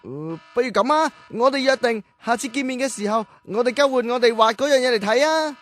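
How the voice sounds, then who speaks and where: A man's voice: very high-pitched, lively intonation, a little fast, a bit bright. A man playing an earnest, friendly big-brother boy in a children's radio drama. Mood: eager.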